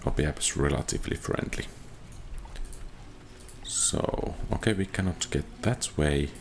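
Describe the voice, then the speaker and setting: A man doing casual video game commentary, halting and musing as if thinking aloud. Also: very deep voice, a little hiss or hum. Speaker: gamer narrating own playthrough.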